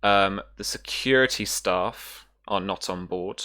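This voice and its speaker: Slow, deliberate, low-voiced game master. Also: pushed and bright. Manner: hesitant, deliberate, pausing between short phrases. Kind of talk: narrating a sci-fi tabletop roleplaying game.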